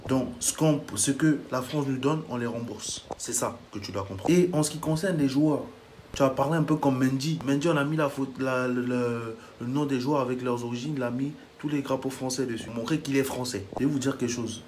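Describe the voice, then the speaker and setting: A man's voice: mid-range voice, steady tone, brisk, a little mellow, slight background noise. A man from Africa delivering a heated reaction monologue as a vlogger, indignant and lecturing, blunt to the point of insults.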